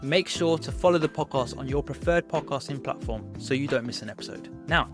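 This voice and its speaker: A man hosting a podcast interview, upbeat and chatty, often saying "yeah, no". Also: mid-range voice. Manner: upbeat, many fillers like "yeah, no". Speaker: podcast host.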